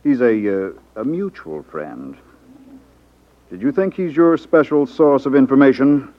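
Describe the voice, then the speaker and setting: An actor playing a smug, condescending racketeer boss in a suave, dry manner in a 1950s radio drama. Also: male voice, medium pitch, animated, measured pace, dry recording, lightly acted. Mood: smug, sardonic. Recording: tinny band-limited 1950s radio recording.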